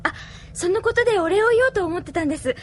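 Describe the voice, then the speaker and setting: A woman's voice: very high voice, lively intonation, fast talker, a little hiss or hum. A woman playing a sweet, earnest young staffer devoted to her leader in an audio drama, polite and girlish. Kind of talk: anime-style audio drama acting.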